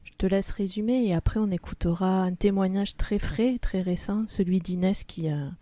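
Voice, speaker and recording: Radio host guy, slightly higher voice, mellow tone, keeps the chat moving. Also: normal speed, no echo. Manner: prompting, guiding the talk. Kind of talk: radio discussion.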